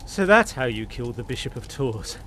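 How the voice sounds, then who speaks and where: An actor playing a desperate young lord in a radio suspense drama, drugged, breathless and stammering. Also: male voice, medium pitch, sing-song, a little fast, fairly bright tone, slight background noise. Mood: accusing.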